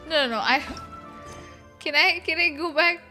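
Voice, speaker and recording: A woman game streamer reacting casually and haltingly to a live playthrough, muttering to herself over game music. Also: high-pitched, sharp tone.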